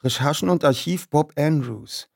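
A man's voice: a little high, moderate pace, vocal fry, darkish tone, no room sound, clean studio sound, pitched above the performer's own voice. A man voicing a polite, self-assured teenage boy detective in a storybook, speaking in a formal, articulate and solicitous way. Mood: confident.

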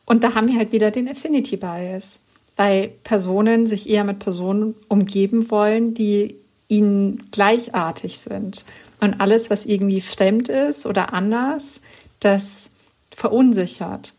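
A young woman speaks with earnest emphasis as an author in a podcast interview. Her voice sits at a medium pitch, and she often rushes through phrases. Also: a little mellow. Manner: earnest, emphatic, quick explaining. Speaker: author guest.

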